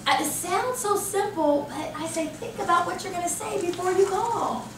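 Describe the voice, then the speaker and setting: High-pitched American woman training a group. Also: moderate pace. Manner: animated, emphatic, asks and answers own questions. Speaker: corporate trainer. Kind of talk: interactive workshop presentation to a group. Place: indoor training room. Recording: roomy, distant microphone with background noise.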